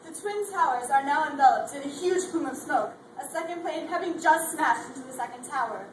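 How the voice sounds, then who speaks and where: A woman's voice: high-pitched, a little fast, close to an everyday voice. A young woman playing a television news anchor, reading breaking news in a formal way. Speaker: readers theatre performer. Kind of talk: news broadcast delivery. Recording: echoey hall, distant, noisy.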